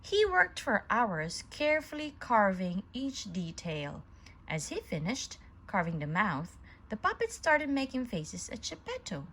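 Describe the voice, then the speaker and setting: A woman reading a children's story aloud in an expressive, deliberate storyteller's manner. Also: mid-range voice, slightly rough.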